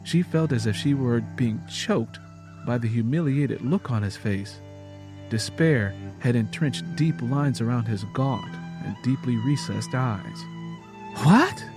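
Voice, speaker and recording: A man's voice: medium pitch, a little slow, over music. A man reading scripted story narration in a solemn, dramatic manner.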